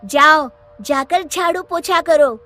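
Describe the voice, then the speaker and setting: A woman's voice: sits high, slow pace, bright, forceful tone, faint music behind, pitched above the performer's own voice, full character voice. A woman voicing a mischievous, menacing witch ghost who is a protective friend, speaking in a commanding, theatrical way. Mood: bossy.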